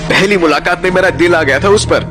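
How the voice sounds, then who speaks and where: A man's voice: sits high, fairly quick, close to an everyday voice. A man acting as a naive, anxious, good-hearted young traveller in an audio drama, speaking in an emotive, dramatic way. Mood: rueful, upset. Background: background music.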